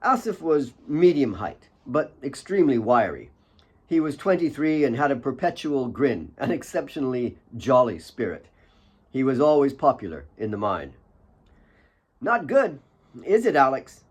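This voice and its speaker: A man's voice: medium pitch, sing-song, normal speed, husky. A man reading his own fiction as a scripted, dramatic audiobook narration.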